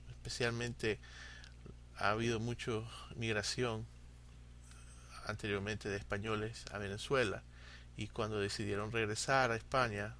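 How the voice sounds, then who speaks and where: A man from coastal Ecuador, speaking with a coastal Ecuadorian accent, leaves a casual recorded message for a podcast host in a halting, unhurried way. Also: lowish voice, fairly bright tone, slight background noise. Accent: coastal Ecuadorian Spanish. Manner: halting and unhurried, pausing often to find words. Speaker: listener sending a recorded message.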